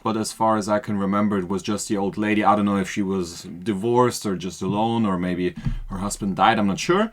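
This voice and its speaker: A man, his voice sitting on the low side. He talks as a podcast guest, relaxed and rambling, full of fillers and restarts. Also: fairly quick. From Austria. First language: German. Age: 20s. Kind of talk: casual podcast interview.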